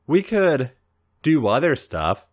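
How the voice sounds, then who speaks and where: A man's voice: medium pitch, very animated, takes it slowly, pitched above the performer's own voice. A man voicing a petulant, stubborn younger wrestler who hedges coyly, in an audio fan story. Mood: hedging, coy. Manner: petulant, hedging.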